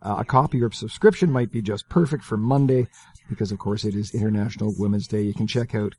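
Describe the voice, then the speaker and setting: Low-voiced Canadian man hosting radio. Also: quick pace, darkish tone. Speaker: radio interviewer and host. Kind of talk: conversational radio interview.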